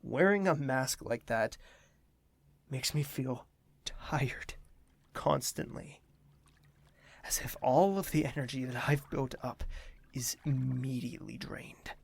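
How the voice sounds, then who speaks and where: Gravelly, weary young prince. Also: male voice, mid-range voice, takes it slowly, long pauses, a little hiss or hum. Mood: wistful, tired. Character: weary, burdened young prince hosting a royal ball. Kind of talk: audio drama monologue.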